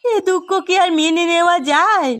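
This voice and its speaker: A woman's voice: very high voice, moderate pace, a bit bright, dry recording. A woman playing a middle-aged village wife and mother in a cartoon drama, plaintive and scolding in the way she talks. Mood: grieving.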